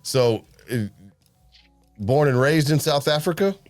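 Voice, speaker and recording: A man hosting a podcast interview, easygoing and quick with enthusiastic reactions. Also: a little high, expressive, faint music behind. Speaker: podcast host. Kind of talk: casual interview.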